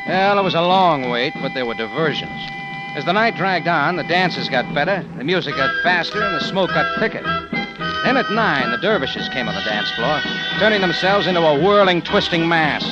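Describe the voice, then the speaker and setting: A man's voice: on the high side, expressive, moderate pace, dull audio, close to an everyday voice. An actor playing a terse, wry American adventurer who narrates his own case in slangy 1940s radio detective style. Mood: tense narration. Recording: old radio recording, music behind.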